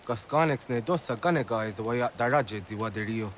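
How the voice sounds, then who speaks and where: A man's voice: medium pitch, a little fast, slight background noise, lightly acted. An actor playing a village man in a dramatic scene from a historical video game. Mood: serious.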